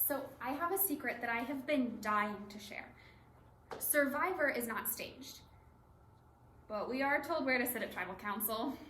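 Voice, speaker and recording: A woman's voice: fairly high pitch, animated, normal speed, sharp tone. A woman performing a rehearsed, conversational monologue in a readers theatre piece. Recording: roomy stage recording with background hiss.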